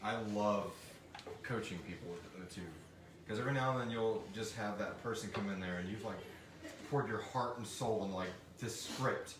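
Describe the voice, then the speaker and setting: A man's voice: lowish voice, moderate pace, recorded from a distance. A man hosting a casual live question-and-answer chat in an informal, joking way. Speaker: podcast writer and director, panel host. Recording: echoey room, off-mic, noisy background.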